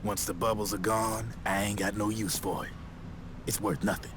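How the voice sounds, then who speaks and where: Man playing a low-voiced, slick streetwise arms dealer. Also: little pitch movement, moderate pace, lightly acted. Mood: cool, cynical. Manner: slangy, smooth-talking, casual swagger. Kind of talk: dramatic video game voice acting. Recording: clear background noise and ambience.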